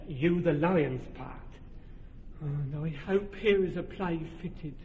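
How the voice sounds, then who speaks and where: An actor playing an earnest, fussy carpenter who directs a village play, persuasive and organizing, in a radio drama. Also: male voice, fairly high pitch, takes it slowly, a little hiss or hum. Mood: directing. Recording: dull lo-fi radio recording with hall reverb.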